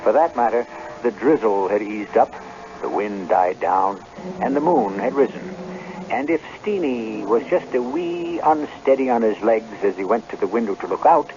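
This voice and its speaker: A man's voice: on the low side, very animated, normal speed, noisy background. A man hosting and narrating a scripted radio drama with literary, theatrical storytelling. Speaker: host and narrator. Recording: muffled old radio broadcast. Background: music.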